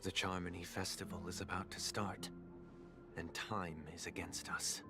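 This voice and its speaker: Man acting a composed young lord, low voice, dutiful, solemn, formal phrasing, game dialogue. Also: little pitch movement, normal speed, loud music behind the voice, natural voice. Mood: solemn, resolute.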